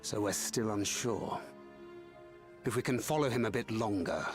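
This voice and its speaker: Game scout, composed, pragmatic, lowish voice, measured, serious, soft music. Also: male voice, animated. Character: composed, pragmatic adventurer scout, adult man. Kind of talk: video game cutscene acting.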